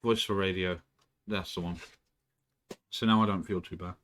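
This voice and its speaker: A man streaming from home, chatting casually and rambling, who often clears his throat and swears. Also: low voice, fairly even intonation, moderate pace, fairly bright tone. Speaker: live streamer. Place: indoors at home.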